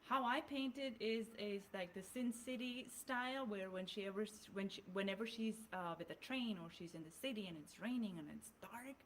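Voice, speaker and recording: A woman's voice: mid-range voice, fairly quick, hardly any pauses, a bit bright, a little hiss or hum, low-quality recording. A woman with a German accent, co-hosting a podcast in casual chat, often restarting her sentences as she works through her ideas. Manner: talks through ideas with frequent restarts and fillers. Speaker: podcast co-host.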